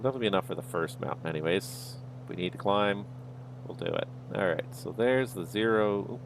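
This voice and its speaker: A man's voice: on the low side, moderate pace, creaky, background noise. A man streaming a flight sim, casually talking himself through each step of the flight as he goes. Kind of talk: livestream commentary, thinking aloud.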